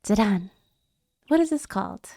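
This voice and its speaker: A woman's voice: medium pitch, lively intonation, moderate pace, gravelly, dark tone, no echo, studio quality. A woman voicing a sheltered young princess in a video game, formal, earnest and determined. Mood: composed.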